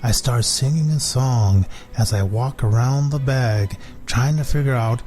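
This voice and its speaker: A man voicing a smug, possessive pet cat in a children's story, telling it deliberately with pauses. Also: mid-range voice, a little mellow, lightly acted. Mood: smug, unhurried.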